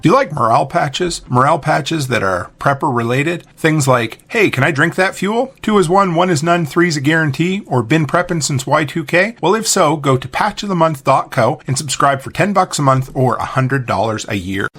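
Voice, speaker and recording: A man reading an upbeat advertising pitch. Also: expressive, moderate pace, hardly any pauses, fairly bright tone, husky, faint music behind. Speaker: advertisement announcer.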